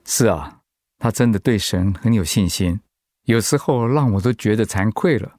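A man's voice: fairly low pitch, lively intonation, moderate pace, darkish tone, no echo, studio quality, close to an everyday voice. A man acting in a radio drama as a humble, anguished pastor and devoted husband, speaking in a heartfelt, sighing way. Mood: humbled, anguished.

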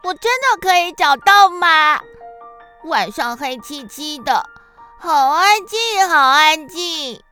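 Children's audio drama: timid little Darkness, very high bright voice, slow, plaintive and childlike. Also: female voice, lively intonation, faint music behind, exaggerated voice. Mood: lonely, wailing. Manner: childlike, plaintive, wide-eyed, drawn-out exclamations. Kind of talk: children's radio drama acting.

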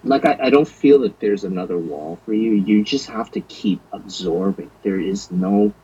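A man's voice: lowish voice, moderate pace, mellow, breathy edge, dull audio. An American man chatting as a podcast guest, thinking aloud in a hesitant, thoughtful way with plenty of fillers. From United States. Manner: thoughtful, hesitant, lots of fillers and agreeing murmurs. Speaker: guest, language learner. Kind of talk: casual conversational chat.

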